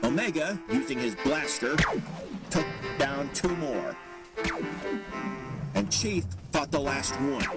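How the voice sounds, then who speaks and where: A man's voice: medium pitch, lively intonation, takes it slowly, pauses often. A man narrating a scripted superhero audio drama as its storyteller. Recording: loud music over the voice.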